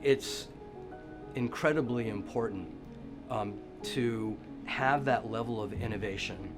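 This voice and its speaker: A man's voice: fairly low pitch, slow pace. A man giving an interview testimonial for a promotional film. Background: guitar music.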